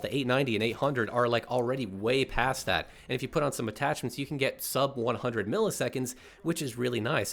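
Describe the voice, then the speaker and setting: Congested man giving casual gaming commentary. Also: medium pitch. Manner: upbeat, chatty, explanatory. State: congested, clearing his throat. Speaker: gaming YouTuber host.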